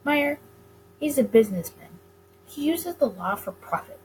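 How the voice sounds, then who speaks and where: A woman reading a visual novel aloud as dramatic narration for a gaming video, with expressive delivery. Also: high-pitched, normal speed, faint music behind, rough recording.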